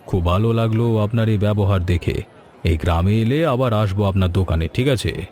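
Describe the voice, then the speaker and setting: A man's voice: lowish voice, moderate pace, darkish tone, some chatter behind, a little hiss or hum, close to an everyday voice. A man playing a polite, mildly worried middle-aged village father in a cartoon story. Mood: grateful, polite.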